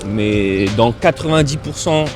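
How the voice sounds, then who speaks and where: A man, a local resident, calmly explaining his neighborhood outdoors in a casual conversation. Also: mid-range voice, measured pace, music in the background. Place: outdoors on a city street.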